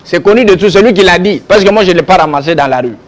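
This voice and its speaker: A man with a Congolese French accent speaks as a political guest in a televised debate. His voice is notably high for a man. He argues insistently, stressing and repeating his points. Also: lively intonation, racing. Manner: insistent, argumentative, emphatic, repeating himself. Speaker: political guest, youth league president. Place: television studio.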